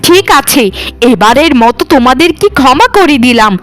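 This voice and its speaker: A woman's voice: sits high, animated, moderate pace, fairly bright tone, faint music behind. A woman voicing a wronged, firm and defiant young daughter-in-law in a cartoon. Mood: stern, warning. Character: wronged young daughter-in-law turned bold, threatening giantess. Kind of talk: cartoon voice acting.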